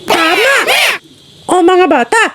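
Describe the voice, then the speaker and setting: A woman's voice: very high voice, expressive, takes it slowly, exaggerated voice. A woman voicing a cheerful, friendly young character in a children's show, exclaiming and addressing young viewers. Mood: cheerful, encouraging. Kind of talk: scripted children's show voice-over.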